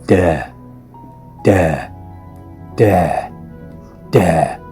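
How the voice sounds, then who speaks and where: A man acts out taunting children from a ghost story. The delivery is insistent and jeering, goading the listener on, with a dark timbre underneath. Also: low voice, expressive, very slow, faint music behind, full character voice. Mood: insistent chanting. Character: group of taunting, daring older children.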